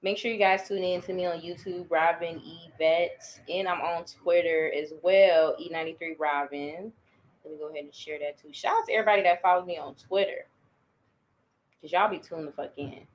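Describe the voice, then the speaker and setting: A young woman hosting a live podcast, talking loudly and casually, laughing and swearing a lot. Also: on the low side, moderate pace, a little hiss or hum. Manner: loud, laughing, swearing, animated. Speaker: podcast host.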